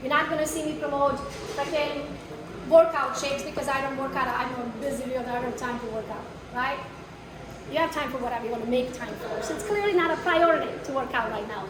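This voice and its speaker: A woman's voice: high-pitched, fast. A woman with an accent teaches a live workshop for realtors. She speaks bluntly, swears now and then, and keeps asking the room questions. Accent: foreign. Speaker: real estate marketing trainer. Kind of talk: live workshop talk to an audience. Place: training room with a live audience. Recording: echoey room, mic far off, noisy.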